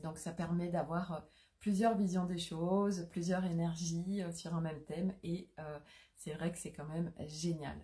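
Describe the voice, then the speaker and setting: A woman, a holistic coach, giving a live spiritual talk in a soft manner full of fillers and restarts. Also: lowish voice, fairly even intonation, unhurried.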